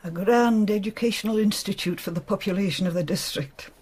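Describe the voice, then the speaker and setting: High-voiced, bitter defender of the poor. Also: male voice, brisk, darkish tone, husky. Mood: indignant. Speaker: actor in a radio drama. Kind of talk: BBC radio drama acting.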